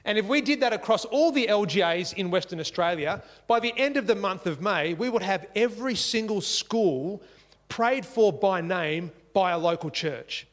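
A man's voice: high voice, animated, moderate pace, in a large hall. A man preaching a sermon in earnest, repeating key phrases for emphasis. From Singapore. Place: church.

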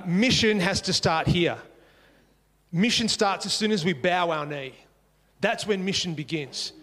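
A man's voice: high-pitched, a bit bright, big-room sound. A man preaching a sermon in earnest, repeating key phrases for emphasis. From Singapore. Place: church.

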